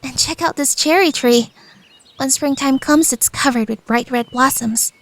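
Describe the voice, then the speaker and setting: A woman voicing a friendly, considerate high school senior girl in an anime fandub, with a thoughtful, gentle manner. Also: moderate pace, natural voice. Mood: friendly, curious. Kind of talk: anime fandub radio play.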